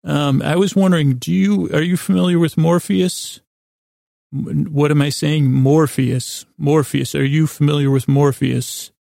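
A man with a gravelly, creaky voice, recorded close and dry. He hosts a bedtime show, rambling in a soothing, improvised way. His sentences stumble, restart and wander off into gentle tangents. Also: fairly high pitch, steady tone, a little slow, dry recording. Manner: meandering, stammering, with repeated false starts and tangents; lulling, soothing delivery. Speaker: host of a bedtime sleep podcast.

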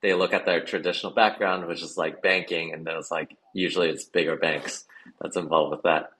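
A man's voice: low-pitched, steady tone, a little fast, a bit bright. A man hosting a podcast, asking curious, loosely worded interview questions. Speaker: podcast host.

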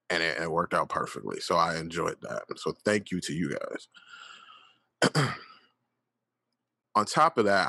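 A man's voice: on the low side, sharp tone, dry recording. A man in his late thirties hosting a solo podcast, talking candidly and telling stories with plenty of fillers and swearing. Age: 30s. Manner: candid, profane, storytelling with lots of fillers. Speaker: podcast host. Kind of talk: casual solo monologue.

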